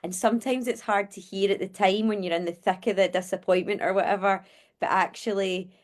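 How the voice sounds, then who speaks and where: A woman hosting a casual interview, encouraging and quick to agree. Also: medium pitch, fairly even intonation.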